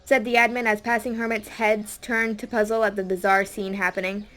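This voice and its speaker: A woman's voice: mid-range voice, level tone, brisk, a bit bright. A woman reading a scripted audiobook story as a dramatic narrator.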